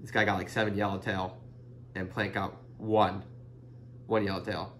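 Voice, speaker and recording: A man's voice: on the low side, flat delivery, moderate pace, fairly bright tone, a little hiss or hum. A young man hosting a fishing channel, casually explaining his tackle to the camera in a chatty, earnest way full of "like" and "you know", recorded indoors on a camera mic. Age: young adult. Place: indoor room in front of a printed banner.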